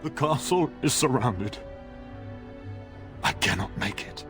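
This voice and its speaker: An actor playing a gravely wounded middle-aged noble father in a video game, halting and labored, loving and dutiful. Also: mid-range voice, expressive, music in the background. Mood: pleading, weak. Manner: halting, pained, labored delivery. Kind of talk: dramatic video game acting.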